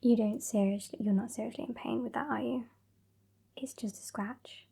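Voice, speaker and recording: A woman's voice: a little high, steady tone, normal speed. A woman playing a haughty, sassy female vampire with a British accent in a whispered ASMR roleplay, condescending and exasperated. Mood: disdainful. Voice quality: whispery, breathy. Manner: condescending, exasperated, softly scolding. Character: sassy, haughty old female vampire scolding her fledgling.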